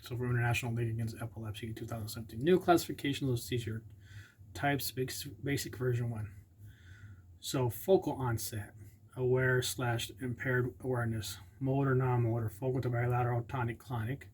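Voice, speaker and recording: Husky, rambling man vlogging casually. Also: mid-range voice, steady tone, brisk, a little mellow. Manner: rambling, unsure, with many fillers and self-corrections. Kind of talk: casual informational vlog, partly reading aloud.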